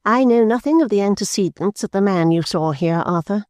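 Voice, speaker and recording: A man's voice: high-pitched, lively intonation, a little mellow, no room sound, studio quality, pitched above the performer's own voice. A man voicing a stern, severe older mother. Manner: stern, clipped, deliberate.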